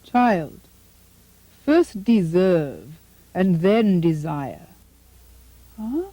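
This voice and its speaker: A woman playing a haughty, scornful queen and stepmother in a puppet show, with a mellow voice and deliberate, condescending delivery. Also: on the low side, big pitch swings, no echo, full character voice. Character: haughty, scornful younger queen scolding her stepson.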